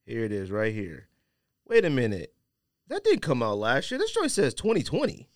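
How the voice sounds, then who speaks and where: A man's voice: medium pitch, wildly swooping pitch, moderate pace, no echo, studio quality. A man hosting a casual sneaker podcast, teasing his friends in slangy banter. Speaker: podcast host.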